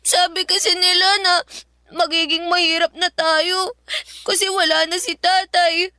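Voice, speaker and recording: A woman's voice: extremely high pitch, little pitch movement, measured pace, sharp tone, no room sound, heavily acted. A woman playing an anxious, sweet young schoolgirl daughter in a radio drama, pleading in a tearful, childlike way. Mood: pleading, sad. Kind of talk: Tagalog radio drama acting. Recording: dry studio with music behind.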